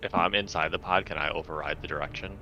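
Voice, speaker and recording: A man playing a tabletop roleplaying game, casually stating his moves, on a clearly noisy recording. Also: a bass voice, fairly even intonation, fast talker, dull audio.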